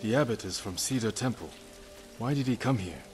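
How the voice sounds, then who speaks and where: A man's voice: medium pitch, expressive, a little fast, lightly acted. A man voicing a stoic, compassionate samurai lord of medieval Japan in a video game, terse, restrained and grave. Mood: calm, grave. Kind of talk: dramatic video game voice acting. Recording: music and rain behind the voice.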